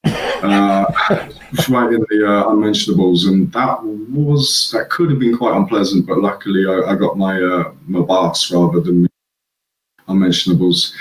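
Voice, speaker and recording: A man's voice: low voice, fairly even intonation, measured pace, echoey room, slight background noise, poor audio. A man from England, a guest, chatting casually and rambling through his childhood stories. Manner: rambling, laughs at own stories. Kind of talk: casual chat.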